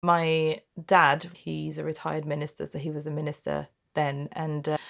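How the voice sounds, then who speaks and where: Low-voiced Norwegian woman. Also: monotone, normal speed, creaky. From Norway. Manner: thoughtful, personal, frequent self-corrections. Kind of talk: conversational discussion.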